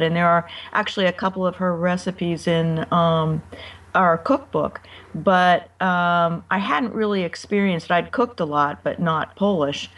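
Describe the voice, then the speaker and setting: An American woman, a cookbook author, answering interview questions in a friendly, halting way with frequent ums. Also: fairly low pitch, a bit bright, recorded from a distance. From United States.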